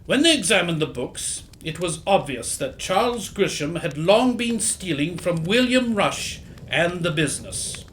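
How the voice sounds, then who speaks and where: A man playing an earnest, helpful gentleman, the loyal sidekick to a detective, in audio theatre. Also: high-pitched, big pitch swings, measured pace, hardly any pauses, a little hiss or hum, full character voice. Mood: explaining.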